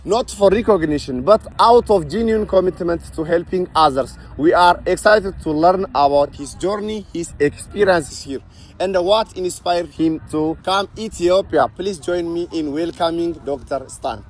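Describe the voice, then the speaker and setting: A man hosting an interview, giving a formal welcome and then asking halting questions with many fillers. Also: a little high. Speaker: interview host.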